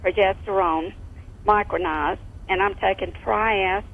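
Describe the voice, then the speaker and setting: A woman in her sixties calls a radio health show over a tinny phone line. She gives details deliberately, one at a time, in a medium-pitched voice. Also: steady tone. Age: 60s. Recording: narrow tinny telephone line.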